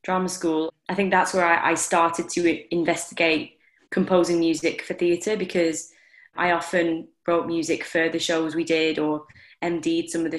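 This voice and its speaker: A young woman with a Lancashire accent, answering interview questions in a thoughtful way with frequent restarts. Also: sits low. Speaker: guest theatre maker and composer. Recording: roomy echo.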